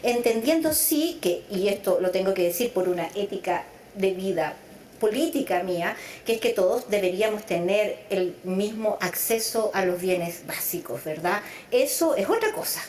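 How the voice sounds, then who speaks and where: A woman's voice: mid-range voice, expressive, normal speed, a little mellow, echoey room, slight background noise. A middle-aged woman, a psychologist raised partly in Venezuela, speaking thoughtfully in an interview, stretching words for emphasis. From Chile, raised in Venezuela. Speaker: psychologist guest. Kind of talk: conversational interview.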